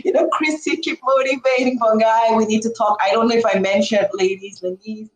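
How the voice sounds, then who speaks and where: Expressive woman in an echoey room. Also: on the high side, fairly quick. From Cameroon. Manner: expressive, acts out quoted speech. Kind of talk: animated personal storytelling in a group discussion.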